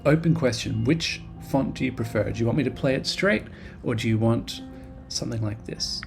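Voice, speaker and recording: A man with a gravelly, mid-pitched voice talks through his game project in a loose, rambling way. He is self-deprecating and fills pauses with ums. Soft music plays faintly underneath. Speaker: game developer vlogger. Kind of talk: casual devlog narration over screen recording.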